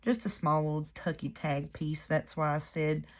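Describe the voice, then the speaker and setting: A hoarse woman, chatting casually and rambling softly as she works through a crafting project. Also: sits low, steady tone, moderate pace, slight background noise. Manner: soft-spoken and rambling. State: hoarse from a cold. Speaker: craft vlogger.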